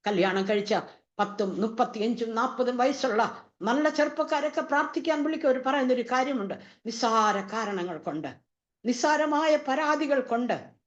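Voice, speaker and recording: A woman preaching a fervent sermon, driving home her points with repeated rhetorical questions. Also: fairly high pitch. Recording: dull lo-fi recording.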